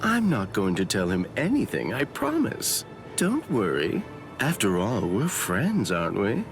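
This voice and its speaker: A man's voice: lowish voice, wildly swooping pitch, exaggerated voice. A man voicing a sly, taunting, falsely friendly swordsman captain in an anime game dub. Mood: mocking, playful. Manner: teasing, drawn-out, falsely polite. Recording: prominent music behind voice.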